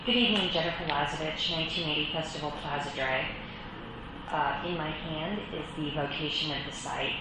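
Low-voiced woman presenting, echoey hall. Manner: brisk and practiced presenter. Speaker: applicant's representative. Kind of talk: public hearing presentation. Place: public meeting chamber. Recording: very echoey chamber with loud background noise.

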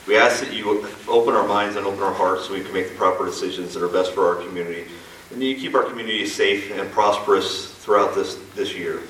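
Low-voiced man praying quickly. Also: slight background noise. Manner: earnest, steady invocation. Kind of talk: spoken prayer. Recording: echoey room from far off.

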